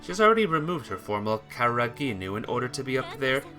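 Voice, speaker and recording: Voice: mid-range voice, very animated, fast talker, bright, forceful tone, soft background music. An amateur reader narrating a visual novel expressively, as a story.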